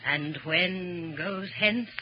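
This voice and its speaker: A woman's voice: lowish voice, extremely slow, a little hiss or hum. An actress playing a ruthless, ambitious noblewoman in theatrical 1940s radio Shakespeare, intense and commanding. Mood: scheming, commanding. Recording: dull lo-fi 1940s radio recording.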